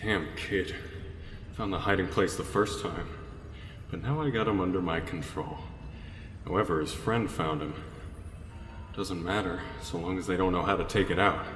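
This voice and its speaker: A man acts out a bitter, scheming tech villain for an audio drama. His voice sits low and turns from muttered plotting to falsely obliging talk, in an echoey, noisy space with long reverb. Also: normal speed, long pauses, a bit bright. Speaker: voice actor. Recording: echoey, reverberant room with clear noise.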